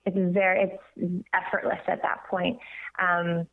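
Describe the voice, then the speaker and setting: A woman's voice: on the low side, little pitch movement, measured pace, gravelly. Woman guest on a tinny phone line. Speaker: guest, voice coach. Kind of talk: conversational radio interview.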